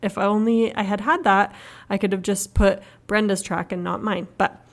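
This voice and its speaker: A woman's voice: mid-range voice, fairly even intonation, normal speed. Chatty woman hosting over a video call. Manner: eager, talkative, upbeat. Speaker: podcast host. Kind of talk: casual interview chat. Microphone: video call recording.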